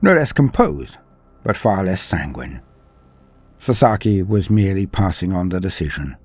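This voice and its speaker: A man narrating a dramatic science fiction story in a measured, theatrical way. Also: sits low, big pitch swings, darkish tone, husky, no echo, faint music behind.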